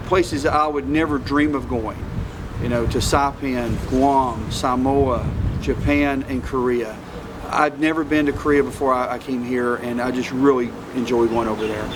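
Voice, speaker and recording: A man's voice: mid-range voice, a little slow. Male army commander reflecting, noisy surroundings. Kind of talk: reflective interview answer. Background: steady ambient noise.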